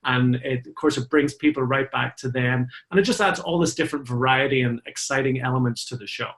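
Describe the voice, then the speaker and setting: A man's voice: medium pitch, fairly quick, fairly bright tone. A middle-aged man with a Northern Irish accent, a flute player chatting as an interview guest, friendly and talkative with many fillers. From Northern Ireland. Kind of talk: interview guest, conversational storytelling.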